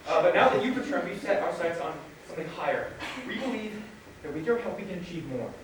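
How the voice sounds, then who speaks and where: A man on stage playing a keen, awkward lab doctor who talks in a halting, bumbling way. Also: high-pitched, expressive, far from the mic, background noise. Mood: earnest. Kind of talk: staged musical reading, stage acting. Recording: very echoey theatre from afar.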